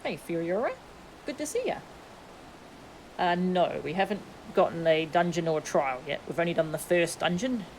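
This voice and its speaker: Low-pitched woman streaming a game. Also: expressive, normal speed, darkish tone, slight background noise, poor audio. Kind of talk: casual livestream chat and reading game dialogue aloud.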